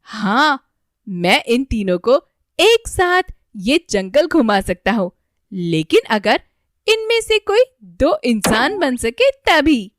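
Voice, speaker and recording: A woman voicing a solemn talking magic stone, measured and declarative, in a children's cartoon. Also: high voice, very animated, no echo, voice pushed up, full character voice. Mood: solemn, assured.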